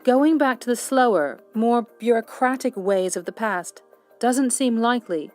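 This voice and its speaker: Lady reporter, slightly high voice, clear scripted read, soft music under. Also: a little slow.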